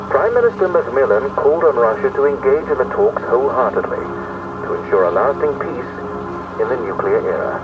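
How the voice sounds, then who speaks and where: A man's voice: medium pitch, narrow, tinny audio. Distant noisy radio newsreader, English man. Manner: formal, measured. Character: formal 1960s BBC radio newsreader man. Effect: old radio filter. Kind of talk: formal scripted radio news bulletin.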